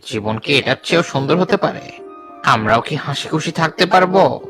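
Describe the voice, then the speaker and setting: A man's voice: fairly high pitch, lively intonation, moderate pace, natural voice. A man voicing a solemn, brooding robot who leads a rebellion in a cartoon. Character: solemn toilet robot, rebel leader rallying an army. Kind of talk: cartoon parody dialogue. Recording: background music under the voice.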